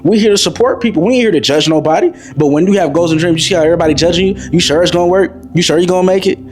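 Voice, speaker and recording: A young man in his twenties delivers a motivational talk in a slightly high voice. He preaches and repeats himself, calling the listener bro. Faint music plays behind him. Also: very fast. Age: 20s. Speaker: motivational vlogger. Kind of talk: motivational monologue to camera.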